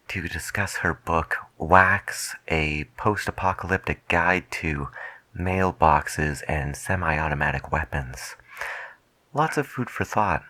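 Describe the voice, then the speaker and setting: A soft-spoken man playing a late night radio DJ in an ASMR style, talking in a measured, reflective, hushed manner close to the mic. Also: deep voice, no echo.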